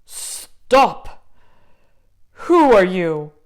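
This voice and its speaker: A mean old bridge troll, put on by a woman, blusters with greedy menace. The delivery is animated and swings widely, with a gullible streak, in storybook puppet-show style. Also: medium pitch, drawn-out words. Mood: menacing. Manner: blustering, shouting commands.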